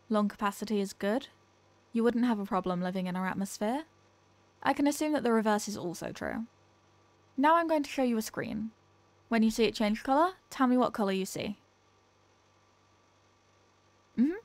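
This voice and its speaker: A woman's voice: on the high side, normal speed, pauses often, close to an everyday voice. A woman playing a condescending female alien scientist in a scripted ASMR roleplay, speaking in a clinical, formal, dismissive manner. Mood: calm, instructing. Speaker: ASMR audio roleplay performer.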